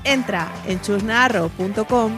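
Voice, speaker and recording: A woman's voice: medium pitch, normal speed, over music. A woman with an accent from Spain hosting a podcast, introducing and interviewing in a friendly style. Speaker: podcast host interviewing a guest.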